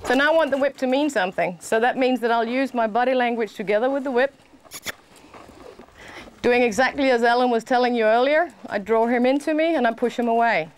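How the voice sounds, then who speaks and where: A woman horse trainer giving quick, encouraging commentary while working a horse in an outdoor sand arena. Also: a little high, a little hiss or hum, poor audio.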